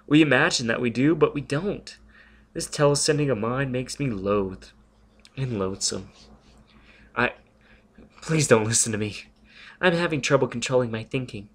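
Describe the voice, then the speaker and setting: A man reading aloud, voicing a lonely, nervous young woman telepath who teaches a class, in a prim, high-strung manner. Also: lively intonation, normal speed, pauses often, close to an everyday voice. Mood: anxious.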